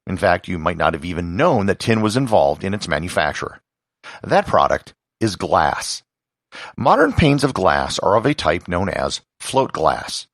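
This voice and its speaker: An American man from Wisconsin hosting a podcast, delivering scripted educational narration in a husky, gravelly voice with measured, emphatic phrasing. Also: low-pitched, dry recording, clean studio sound. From Wisconsin, United States.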